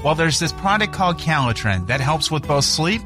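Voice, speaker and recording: A man announcing a radio ad in an upbeat sales pitch. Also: very animated, normal speed, music in the background. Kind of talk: radio ad read.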